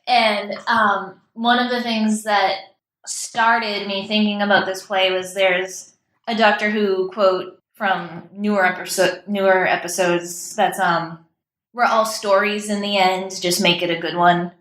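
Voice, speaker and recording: A woman's voice: mid-range voice, little pitch movement, measured pace, a bit bright. A woman chatting informally and enthusiastically as a guest playwright in an interview. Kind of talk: casual interview chat. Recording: roomy, echoing sound.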